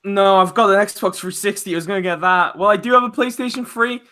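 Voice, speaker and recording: A man with a fairly high, bright voice chats as a podcast co-host. He speaks informally, in the everyday style of friends discussing games. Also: fairly even intonation, fast. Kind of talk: casual chat about video games.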